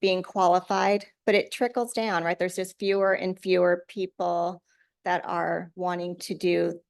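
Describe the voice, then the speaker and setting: Female guest presenter, gravelly voice, earnest and concerned, dry sound. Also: fairly low pitch, little pitch movement, unhurried, no echo. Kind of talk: answering council questions.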